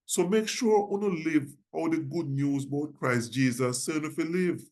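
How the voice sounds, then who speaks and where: A man's voice: fairly high pitch, moderate pace, a little mellow. A reverend with a Jamaican accent, a man reading scripture aloud in Patois. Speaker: reverend, guest scripture reader.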